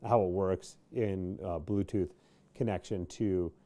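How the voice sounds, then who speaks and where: An American man, around his thirties, giving a casual product demonstration in an informal, halting way with pauses. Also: low voice, fairly even intonation, warm tone. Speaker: product review presenter. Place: small indoor workshop studio.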